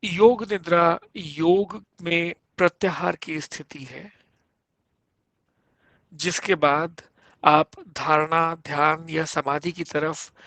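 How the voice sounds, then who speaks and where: Male meditation instructor, fairly high voice, very slow measured phrasing with pauses, guiding deep relaxation. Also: fairly even intonation, pushed and bright, no room sound. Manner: measured, instructive, pausing between short phrases. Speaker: yoga instructor. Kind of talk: guided yoga nidra meditation, slow spoken instructions.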